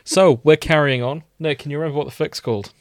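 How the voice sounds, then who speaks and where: A man's voice: a little high, quick pace, no echo, studio quality. A man hosting a podcast, bantering casually with friends. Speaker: podcast host.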